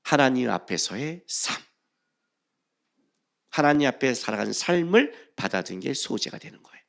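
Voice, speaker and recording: A man preaching a sermon as a pastor, reading scripture and questioning the congregation, swinging between loud emphasis and hushed phrases. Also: mid-range voice, very animated, moderate pace, gravelly.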